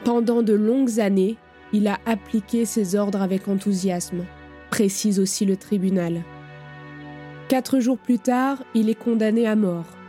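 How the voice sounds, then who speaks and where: Warm-voiced woman narrator. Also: mid-range voice, measured pace, faint music behind. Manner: clear, even, factual delivery. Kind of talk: scripted documentary narration.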